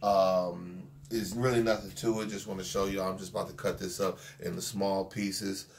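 Man in his forties, low steady voice, relaxed rambling talk, outdoor phone recording from a distance with background noise. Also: moderate pace. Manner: relaxed, rambling, with fillers and chuckles. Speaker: dog kennel owner. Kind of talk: casual how-to vlog. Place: outdoor backyard patio. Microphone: phone camera from a few feet away.

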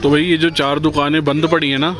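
A man's voice: on the high side, level tone, a little fast, loud music behind the voice. A man in his thirties, a vlogger, talking casually and upbeat, mixing English words into his speech. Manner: chatty, upbeat, mixes English words into Hindi. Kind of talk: casual vlog with sponsored segment. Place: small room indoors, then outdoors on a busy hill-town street.

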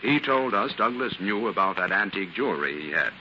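Casting: assured 1940s radio detective, low and forceful, faintly noisy vintage sound. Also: male voice, expressive, fairly quick. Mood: assured, explaining. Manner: authoritative, explanatory. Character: assured male scientist detective explaining a solved case. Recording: dull, hissy 1940s radio recording.

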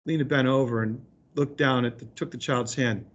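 A man's voice: mid-range voice, fairly even intonation, normal speed, fairly bright tone, muffled recording. A man speaking as an elementary school teacher, reading aloud and explaining to a remote class of children in an encouraging way.

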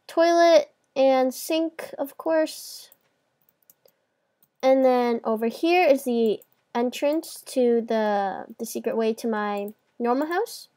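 A woman's voice: a little high, dark tone. A woman hosting a video game tour, chatting informally as she gives casual commentary on what she is showing.

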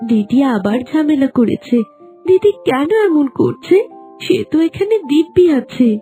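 High-voiced sorrowful young princess. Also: female voice, expressive, measured pace, mellow, soft background music. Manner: plaintive, melodramatic. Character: gentle, sorrowful young princess cursed into a plant. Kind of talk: cartoon fairy tale acting.

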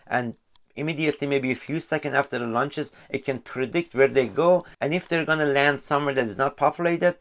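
Persian-accented man in his forties, host giving casual explanatory commentary to a home camera. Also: medium pitch. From Iran. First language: Farsi. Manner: explanatory, gestures freely, restarts often. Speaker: channel host. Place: indoors at home in front of a sofa.

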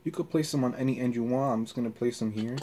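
A man giving a casual hands-on tutorial in halting, short step-by-step instructions. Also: mid-range voice, warm tone, a little hiss or hum. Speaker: tutorial presenter. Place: carpeted floor in a room at home.

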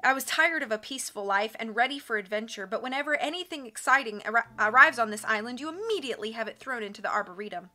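A woman's voice: on the high side, animated, fast talker, hardly any pauses, sharp tone. A woman narrator voicing a defiant, adventure-hungry islander who challenges the leader.

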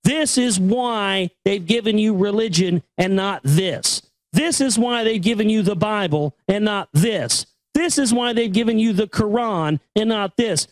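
A man reads and comments in a high-pitched voice. He is blunt and emphatic, hammering points through repetition and swearing freely. The sound is dry, with no room around it. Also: measured pace, few pauses, dry recording. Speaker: host reading a book with commentary. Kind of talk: impassioned commentary and book reading.

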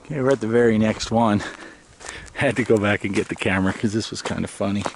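A man's voice: lowish voice, brisk. A middle-aged American man chatting informally and explaining things as a vlogger, recorded on a handheld or car camera. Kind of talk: casual geocaching vlog narration. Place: car interior and open roadside outdoors. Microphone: handheld camera and dash cam. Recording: noisy outdoor and in-car camera audio.

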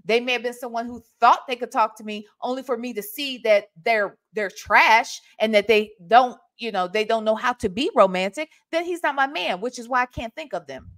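A woman's voice: fairly high pitch, moderate pace, hardly any pauses. A woman in her forties with a Southern US accent, hosting a reaction video from a small room at home and speaking into a desk microphone with emphatic, sassy commentary. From Ohio, United States. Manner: emphatic, sassy, animated talk. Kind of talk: casual commentary on a played call. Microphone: webcam with desk microphone.

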